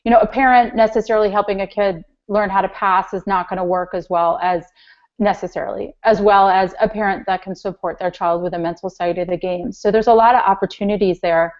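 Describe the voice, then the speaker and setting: A woman's voice: mid-range voice, steady tone, brisk. A woman giving conversational expert advice as an interview guest on a conference call, explaining and restating her points. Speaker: guest, youth soccer parent educator. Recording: muffled, lo-fi conference call line.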